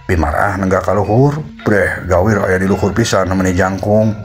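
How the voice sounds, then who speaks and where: Low-voiced Sundanese male storyteller. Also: normal speed. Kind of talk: folktale narration. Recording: music behind the voice.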